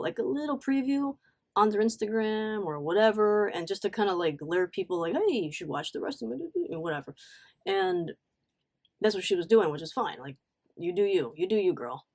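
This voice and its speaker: A woman talks casually, as if telling a long story to friends online. She is blunt and slangy, often breaking off for asides. Her delivery is animated and conversational. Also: lowish voice, moderate pace. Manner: animated, blunt, swearing, acts out quotes. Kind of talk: casual story time vlog.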